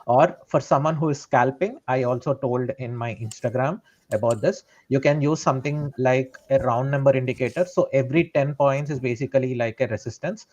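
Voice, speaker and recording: A man's voice: medium pitch, brisk, a little mellow, muffled recording. A man, a trader explaining his strategy step by step and often checking in with "right?". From India. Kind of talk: live trading session explanation.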